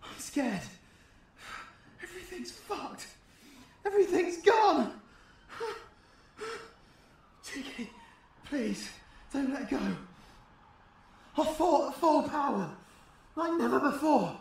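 A man's voice: very high voice, expressive, slow pace, gravelly, long pauses, darkish tone, echoey room, heavily acted. A British man playing a foul-mouthed, fiercely protective fighter in an audio roleplay, blunt and emotional. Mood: pained, frightened.

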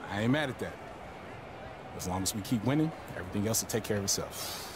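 An actor playing a young, grounded college basketball star with an American accent and a low-key, casual delivery in a video game cutscene. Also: male voice, lively intonation, fast talker, background noise, natural voice. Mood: firm, unbothered. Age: young adult.

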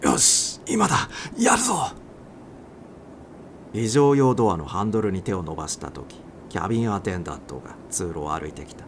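A man's voice: low-pitched, lively intonation, fast, hardly any pauses, a little mellow, a little hiss or hum. A man reading a suspense short story aloud as a dramatic audiobook narrator.

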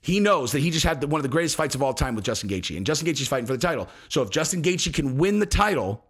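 A man's voice: on the high side, very animated, fast, fairly bright tone, slightly rough. A man in his forties hosting a solo fight commentary show, talking intensely and emphatically at a desk microphone, full of profanity, fillers and restarts. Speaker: MMA commentary show host. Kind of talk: heated solo commentary to camera. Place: home studio with screens of fight photos behind. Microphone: desk podcast mic in front.

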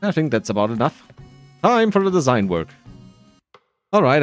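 A man gives casual commentary over a video game, thinking aloud with dry self-deprecation. His pitch swings around a lot while the pace stays unhurried. The voice is clean and smooth, with light music underneath. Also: no echo. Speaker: gaming video creator.

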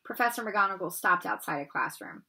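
A woman in her forties reading a children's novel aloud in an expressive storytelling style. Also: mid-range voice, a little fast, gravelly, breathy edge. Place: bedroom at home, indoors.

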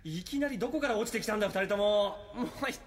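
An actor playing a brash, hot-headed young adventurer with rough, casual speech in an anime audio drama. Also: male voice, sits high, lively intonation, fast. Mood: annoyed. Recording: long hall reverb, noisy.

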